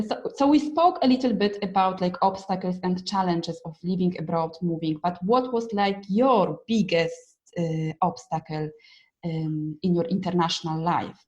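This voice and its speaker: Lower-pitched woman interviewer, slightly dull audio. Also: moderate pace, a little mellow. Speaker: interviewer, host. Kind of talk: casual conversational interviewing.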